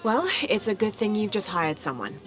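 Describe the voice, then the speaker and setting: An actress playing a poised, kind and determined young woman archaeologist in a video game, speaking calmly and politely. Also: medium pitch, moderate pace, faint music behind, lightly acted. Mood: assured. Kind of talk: video game cutscene acting.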